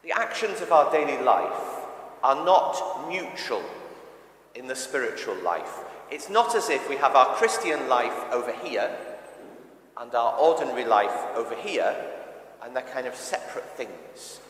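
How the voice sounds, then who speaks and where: A man's voice: medium pitch, big pitch swings, measured pace, fairly bright tone. Echoey church sermon from a male preacher. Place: large reverberant church interior. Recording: echoey church with long reverb.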